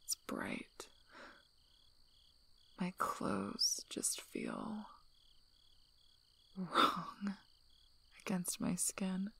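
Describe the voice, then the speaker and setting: Woman as slow, husky werewolf alpha, softly spoken. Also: mid-range voice, wildly swooping pitch, heavily acted. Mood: quiet, troubled. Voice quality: husky, breathy, often near whisper. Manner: hesitant, halting, understated. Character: guarded, gruff but caring young female werewolf alpha. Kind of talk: soft-spoken ASMR roleplay.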